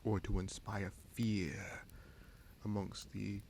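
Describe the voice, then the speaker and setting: A man playing a scheming sorcerer prince in a fantasy audio roleplay, smug and coolly menacing, with a gravelly voice and slow, deliberate, taunting delivery. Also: fairly low pitch, little pitch movement, darkish tone, a little hiss or hum. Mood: cold accusing. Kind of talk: fantasy audio roleplay, dramatic monologue addressed to listener.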